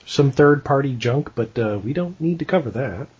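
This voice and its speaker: Brief: podcast co-host, very raspy, lively pitch, quippy and laid-back. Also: moderate pace. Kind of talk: casual chat. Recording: dull lo-fi podcast audio.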